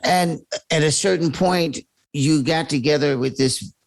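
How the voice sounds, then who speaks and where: A man hosting an interview podcast, enthusiastic and quick with encouraging affirmations. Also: fairly high pitch, no room sound. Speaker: podcast host.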